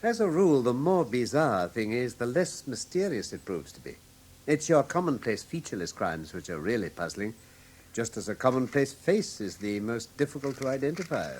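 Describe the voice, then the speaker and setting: An actor playing a brilliant, commanding Victorian gentleman detective with an English accent, precise and theatrical in a classic radio drama. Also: mid-range voice, big pitch swings, moderate pace. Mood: musing.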